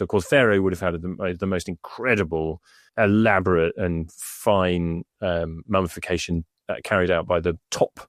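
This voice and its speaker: Male expert co-host, very low voice, dry close sound, thoughtful with ums. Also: no room sound. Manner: thoughtful, hesitant with fillers and restarts. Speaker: Egyptologist co-host. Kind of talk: casual explanatory podcast chat. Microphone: remote over the internet.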